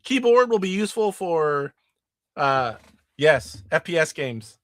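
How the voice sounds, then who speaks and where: Sick, nasal man with a high voice. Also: sing-song, a little slow, dry recording, studio quality. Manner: rambling, demonstrating games live. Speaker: retro gaming show host. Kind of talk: casual live stream chat.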